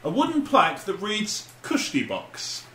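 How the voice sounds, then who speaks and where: A man's voice: high voice, wildly swooping pitch, measured pace, bright, forceful tone. A man co-hosting a podcast, chatting casually and comically. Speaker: podcast co-host. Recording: echoey room with faint noise.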